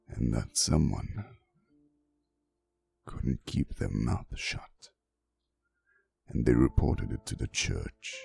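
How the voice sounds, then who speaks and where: A man playing a centuries-old romantic vampire boyfriend in an intimate ASMR roleplay monologue, speaking softly and hesitantly, teasing and wistful with faint menace. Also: low voice, gravelly, full character voice. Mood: somber. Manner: soft, slow, hesitant storytelling with teasing asides. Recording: soft music behind the voice. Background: quiet instrumental music.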